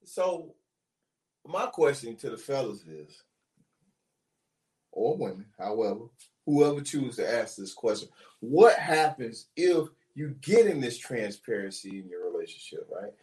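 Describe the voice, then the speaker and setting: A man's voice: mid-range voice, very animated, measured pace, a little mellow, echoey room, poor audio. A man co-hosting a casual relationship talk show, joking with crude banter and asking questions of the listeners. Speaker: podcast co-host.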